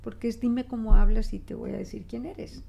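An older woman from Spain with a Spanish accent softened by Mexican Spanish, a linguist talking expressively in a studio interview at a desk microphone. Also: fairly high pitch, a little fast, gravelly, slight background noise. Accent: Spanish from Spain, adapted toward Mexican Spanish. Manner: expressive, reflective, with frequent restarts and gestures. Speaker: guest linguist. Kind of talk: conversational interview. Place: small studio office, indoors.

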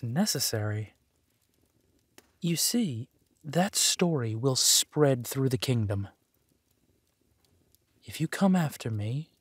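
Man acting as possessive villain spouse, unhurried delivery, tender yet threatening, theatrical audio drama, dry recording. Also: mid-range voice, breathy edge, no echo. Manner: slow, soothing, darkly theatrical. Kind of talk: scripted roleplay audio drama.

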